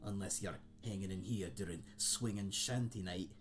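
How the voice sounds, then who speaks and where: A man's voice: low-pitched, normal speed, soft background music, lightly acted. A man playing a friendly, chatty old tavern keeper in a fantasy audio roleplay, with a folksy, rambling, self-deprecating way of talking to the listener. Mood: hospitable, joking. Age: older. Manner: rambling, folksy, hesitant, chuckling aside to a guest.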